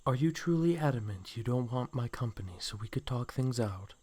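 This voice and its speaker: A man's voice: mid-range voice, lively intonation, brisk, darkish tone, husky. A man acting a suave, possessive snake man in a comic dub, coaxing with flowery, condescending endearments. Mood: smooth persuasion. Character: suave, possessive snake man courting his captive love.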